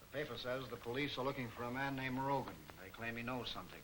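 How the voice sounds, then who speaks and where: An actor playing a controlled, menacing criminal mastermind in a 1940s movie serial. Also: male voice, medium pitch, quick pace, fairly bright tone, close to an everyday voice, poor audio. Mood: stern. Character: soft-spoken sinister criminal mastermind, man. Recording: hissy 1940s film soundtrack.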